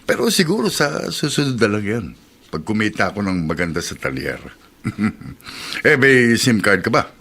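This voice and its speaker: A man's voice: lowish voice, big pitch swings, moderate pace, pushed and bright, exaggerated voice. A man playing a sly, lecherous middle-aged mechanic in a radio drama, with a leering, falsely kind manner. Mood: sly, coaxing. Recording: heavy noise behind the voice.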